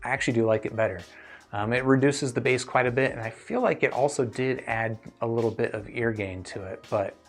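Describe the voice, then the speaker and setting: A man with a medium-pitched voice hosts a casual live review stream. He talks conversationally and thinks aloud while answering questions from viewers. Music plays behind his voice. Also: brisk. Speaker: host of a livestream reviewing earphones.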